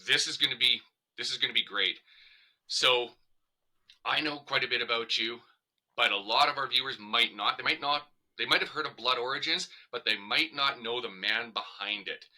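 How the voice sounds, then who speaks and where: Bright, forceful male talk show host. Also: mid-range voice, moderate pace. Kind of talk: hosting a talk show interview.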